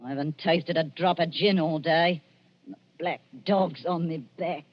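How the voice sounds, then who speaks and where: An actress playing a sly, sharp-tongued old woman in a 1940s-style radio drama. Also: low-pitched, measured pace. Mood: wheedling, scheming. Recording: muffled old radio recording.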